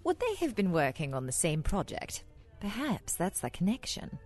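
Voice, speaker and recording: A woman's voice: fairly low pitch, huge pitch swings, moderate pace, darkish tone, dry recording, soft background music. An actress playing a poised, coolly clever female spy in a radio theatre drama, with an arch, inquisitive manner. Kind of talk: scripted radio theatre acting.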